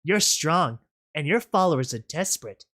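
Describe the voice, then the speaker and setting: A woman's voice: deep voice, lively intonation, moderate pace, a bit bright. A woman voicing a cruel, arrogant female pegasus tyrant in a story, with a guttural, taunting, menacing delivery. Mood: smug menace. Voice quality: guttural, growling.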